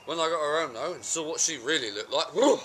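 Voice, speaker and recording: A man's voice: fairly high pitch, animated, fast, fairly bright tone. A man playing a cheeky working-class husband, indignant and wheedling in a colloquial stage monologue. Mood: defensive, wheedling. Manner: wheedling, indignant, self-justifying. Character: cheeky, aggrieved working-class husband making flimsy excuses. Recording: amateur home video with background noise.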